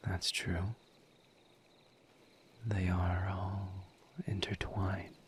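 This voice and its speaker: A man voicing a calm, formal misery demon in an audio roleplay, speaking softly in a breathy near-whisper with measured pauses. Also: low-pitched, a little hiss or hum. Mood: calm, reflective. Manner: measured, halting, formal diction with long pauses. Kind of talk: audio roleplay, intimate scripted monologue.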